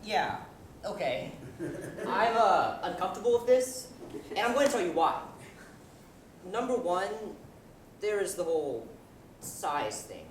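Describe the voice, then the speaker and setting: A young woman plays an anxious little boy in a stage comedy, halting and suspicious of girls. The delivery swings up and down, picked up from a distant mic in an echoey, noisy room. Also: on the low side. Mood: uneasy, earnest. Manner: halting, repeating words, deadpan objecting. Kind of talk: student stage comedy acting.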